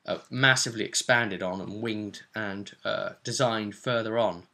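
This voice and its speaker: A man's voice: lowish voice, fairly even intonation, measured pace, gravelly, pushed and bright. A man hosting as game master, casually recapping a tabletop role-play session in a rambling, descriptive way.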